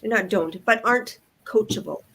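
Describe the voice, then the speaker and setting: An older woman, a grandmother, speaking as a guest in a live online business chat in an earnest, measured way. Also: mid-range voice, fairly bright tone. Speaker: panel guest.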